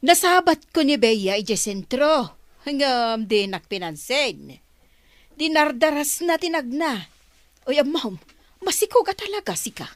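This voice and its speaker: An actress with a Filipino accent playing a nosy, dramatic older mother in a radio drama, with drawn-out exclamations. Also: sits high, sing-song, dry recording. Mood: gossipy.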